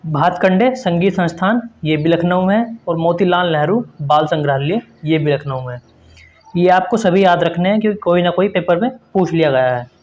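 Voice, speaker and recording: High-pitched man teaching a quiz lesson. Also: moderate pace, darkish tone, highs cut off. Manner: instructive, repetitive emphasis. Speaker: exam-prep teacher. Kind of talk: quiz lesson, reading questions and explaining answers.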